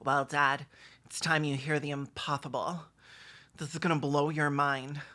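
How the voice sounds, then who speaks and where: A comedic reaction commentator with a bright, sharp voice at a middling pitch. The delivery is mocking and campy. It swings between theatrical mock acting and deadpan asides. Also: unhurried, soft background music. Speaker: video commentator.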